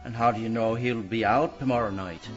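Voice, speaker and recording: An actor playing a guarded, dry-witted career criminal in a radio mystery, speaking tersely. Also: male voice, medium pitch, fairly quick, natural voice. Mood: dry, businesslike. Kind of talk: radio mystery drama acting. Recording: dull band-limited audio with music.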